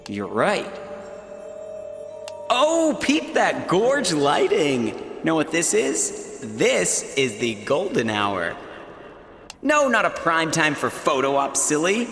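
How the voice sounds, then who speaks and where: A flamboyant reality show host from a video game. He is theatrical and showy, lingering over his words at an unhurried pace, in a roomy reverb with music playing behind. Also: fairly high pitch, huge pitch swings, a bit bright, exaggerated voice. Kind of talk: video game character acting.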